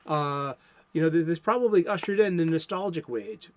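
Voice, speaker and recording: A man's voice: on the high side, moderate pace. A man with a Boston accent hosting a casual solo comic book talk show, rambling with many fillers and restarts. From Boston area, USA. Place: home studio.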